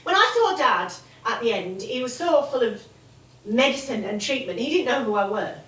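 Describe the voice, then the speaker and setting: An actress with a Northern English accent playing a caring, worried adult daughter in a stage drama. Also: female voice, on the high side, expressive, normal speed, fairly bright tone. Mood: worried, pleading. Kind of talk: theatrical stage acting. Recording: echoey theatre, distant mic.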